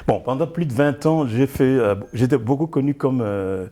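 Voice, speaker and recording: A man in his fifties from the Democratic Republic of the Congo, a guest talking about his acting career in a relaxed interview, often chuckling as he tells his story. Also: mid-range voice. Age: 50s. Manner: chuckles often, speaks in measured storytelling phrases. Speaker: actor and theatre director, guest.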